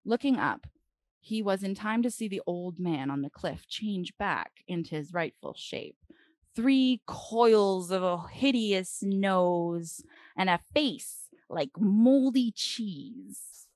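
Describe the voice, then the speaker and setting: A woman reading a children's storybook aloud dramatically, with deliberate phrasing and expressive pauses. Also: medium pitch, dry recording.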